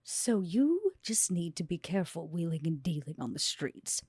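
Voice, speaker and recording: A woman playing her character in a tabletop game, haughty and measured. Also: lowish voice, big pitch swings, a little mellow, husky, dry recording, clean studio sound. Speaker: player. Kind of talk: in-character roleplay.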